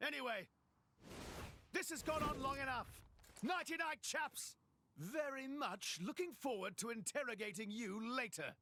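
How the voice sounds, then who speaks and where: An actor playing a cocky, showy warrior prince in a video game, boastful, jaunty and dramatic. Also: male voice, very high-pitched, expressive, a little slow, bright, forceful tone, exaggerated voice. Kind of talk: dubbed video game cutscene acting.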